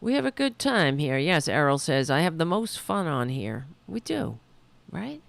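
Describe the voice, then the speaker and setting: Gravelly, rambling woman podcast host. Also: sits low, very animated, moderate pace. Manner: rambling, self-correcting, chatty. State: losing her voice. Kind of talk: casual political talk show.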